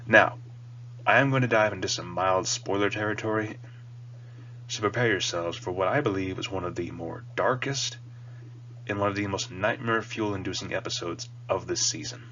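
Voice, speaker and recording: A man with a low voice, a bit nasal and sniffly from a cold, hosts a casual review. He talks in an earnest, emphatic, wordy way. The recording is dull and lo-fi, with a faint hum behind him. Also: normal speed, sharp tone. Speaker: video host reviewing a cartoon. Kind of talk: casual rambling review to the audience. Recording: dull lo-fi mic with audible hum.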